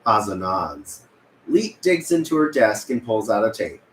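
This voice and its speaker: A man's voice: fairly low pitch, sing-song, a little slow, fairly bright tone. A man reading stage directions as scripted narration at a film table read. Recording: roomy, echoey with room noise.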